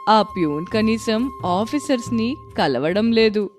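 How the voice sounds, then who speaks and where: A woman's voice: mid-range voice, lively intonation, takes it slowly, music in the background. A woman voicing a dutiful, worried elderly village mother-in-law in a story, plaintive and earnest. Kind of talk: storybook voice acting.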